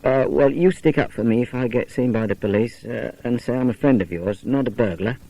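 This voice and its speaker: A man's voice: medium pitch, brisk, mellow, lightly acted, poor audio. Man playing a casual stable lad. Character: stable boy, casual, wary young country lad.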